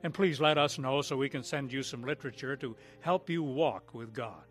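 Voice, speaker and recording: A man's voice: medium pitch, moderate pace, music in the background. A man with an American accent giving a scripted evangelistic appeal and prayer as a program announcer, earnest and solemn.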